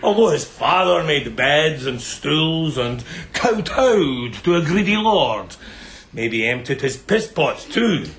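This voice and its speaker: An actor playing a sadistic bandit chieftain in a radio drama, theatrical and mocking, relishing every word with menace. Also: male voice, on the high side, very animated, slow pace, fairly bright tone, exaggerated voice. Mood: mocking, gleeful. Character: gloating, sadistic bandit chieftain taunting bound captives.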